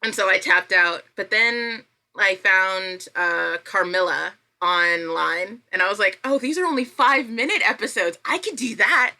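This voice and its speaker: A woman chatting casually as a podcast guest, telling self-deprecating stories and acting out her own thoughts in an animated way. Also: medium pitch, a little slow, hardly any pauses. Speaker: podcast guest, writer and creator. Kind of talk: casual chat, anecdotal storytelling.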